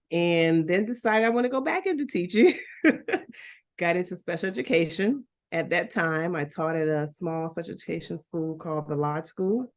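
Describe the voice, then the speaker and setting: A middle-aged woman from Liberia, a guest chatting casually and telling her life story with laughs and restarts. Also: low voice. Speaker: guest, school founder. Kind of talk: casual chat.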